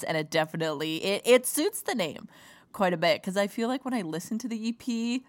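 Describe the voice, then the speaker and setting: A woman's voice: mid-range voice, fairly bright tone. Bubbly gushing woman podcast host. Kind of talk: enthusiastic interview chat.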